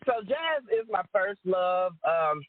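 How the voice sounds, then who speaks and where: A woman with a deep alto voice, chatting casually as a guest and laughing often. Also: very animated, takes it slowly. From Rome, Georgia, US. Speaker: guest singer and entertainer. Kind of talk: casual chat. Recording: dry phone-line call audio.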